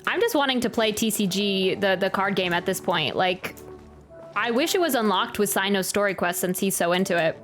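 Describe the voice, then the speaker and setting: A woman's voice: mid-range voice, fairly quick, fairly bright tone. A woman chatting casually on a livestream as she reacts to a game, giggly and self-deprecating. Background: video game music.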